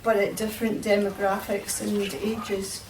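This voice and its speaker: A woman talks with lively enthusiasm on a roundtable panel, building her points through anecdotes. She sounds distant, in a reverberant room. A faint hum of noise sits underneath. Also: medium pitch, measured pace. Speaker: panelist promoting salmon. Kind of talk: roundtable discussion. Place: event room. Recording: distant mic in an echoey room.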